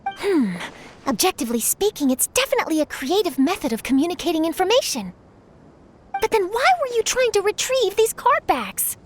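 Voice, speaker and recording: A woman's voice: extremely high pitch, sing-song, fairly quick, a little hiss or hum. An actress voicing an eager young female news reporter who asks lots of questions, in a game cutscene. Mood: curious. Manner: bright, inquisitive questioning. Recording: game soundtrack music behind.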